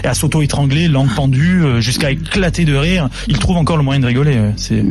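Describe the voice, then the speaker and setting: A man's voice: mid-range voice, fairly quick, a little mellow. A man being interviewed as a journalist and author, talking insistently with many repeated words and restarts. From Sicilian family. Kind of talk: radio interview.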